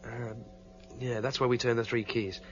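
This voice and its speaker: An actor playing a determined fugitive leader who explains things in a matter-of-fact, reasoning way, in a radio drama. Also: male voice, medium pitch, level tone, normal speed, music in the background, natural voice. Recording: dull radio drama recording, faint hiss.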